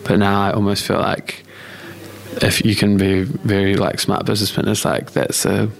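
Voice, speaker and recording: Low-pitched young New Zealand man chatting. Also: little pitch movement, normal speed, gravelly. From Australia and New Zealand. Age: young adult. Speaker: interview guest. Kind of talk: casual chat.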